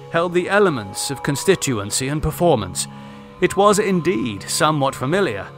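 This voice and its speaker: A man reading a scripted horror story as an audiobook narrator, in a theatrical, deliberate manner. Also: on the high side, fairly bright tone.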